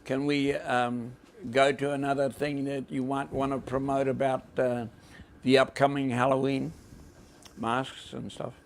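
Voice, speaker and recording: A man with a New Zealand accent hosting an informal podcast in a halting, deliberate way. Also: medium pitch, level tone, gravelly, slight background noise. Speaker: podcast host.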